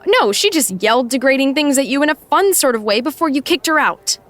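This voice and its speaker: A woman's voice: high voice, animated, brisk, fairly bright tone. A woman playing a blunt, exasperated assistant in an audio drama, firm, articulate and dryly sarcastic. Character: blunt, exasperated female assistant scolding her boss. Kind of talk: naturalistic audio drama acting.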